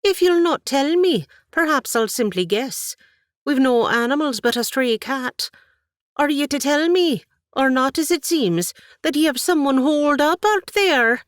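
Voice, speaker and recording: A woman's voice: high-pitched, lively intonation, a little slow, no echo, clean studio sound, voice pushed up. A woman voicing a widowed aunt with an Irish accent, shrewd and stern yet kind. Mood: probing. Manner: probing, lilting Irish turns of speech.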